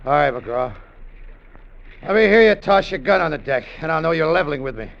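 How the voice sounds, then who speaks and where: An actor playing a scheming sailor gone treasure-mad, in a tense, hard-boiled confessional old-time radio style. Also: male voice, a little high, expressive, a little fast, fairly bright tone, highs cut off. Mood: sly. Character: Danny, scheming treasure-mad sailor turned gunman, confessing his crime. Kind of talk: old-time radio drama acting with first-person narration. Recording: vintage radio broadcast with music.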